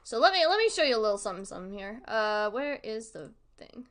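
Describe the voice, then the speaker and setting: A woman's voice: fairly high pitch, sing-song, fast talker, a bit bright. A woman hosting a livestream, talking casually to viewers in a chatty, emphatic way and repeating points for emphasis. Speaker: streamer hosting a discussion.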